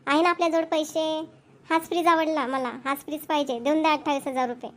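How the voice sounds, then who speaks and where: A woman's voice: high voice, fairly even intonation, quick pace, lightly acted. A woman voicing Puja, an eager coaxing young wife. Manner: coaxing, pleading. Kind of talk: cartoon voice acting.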